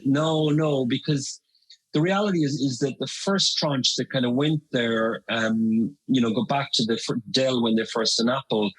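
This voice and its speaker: A man's voice: mid-range voice, moderate pace, rough recording. A middle-aged Irish man talking as a guest in an interview, chatty and full of fillers and restarts. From Ireland. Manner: conversational, many fillers and restarts. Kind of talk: interview conversation.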